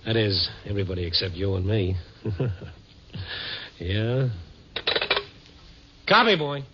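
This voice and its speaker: An actor playing a steady, wry newspaper reporter in a 1950s radio drama, speaking in a measured, hard-boiled way. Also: male voice, on the low side, background noise. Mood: reflective, wry. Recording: dull vintage radio broadcast recording.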